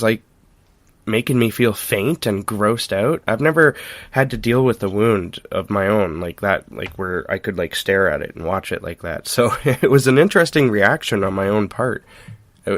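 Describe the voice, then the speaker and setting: A man hosting a podcast in casual chat, telling things in a halting, informal way with frequent chuckles. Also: on the low side, a bit bright, no room sound. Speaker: podcast host.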